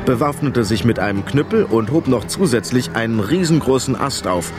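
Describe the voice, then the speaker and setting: A man narrating a children's radio play in an expressive, dramatic storytelling style. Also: mid-range voice, normal speed, music in the background.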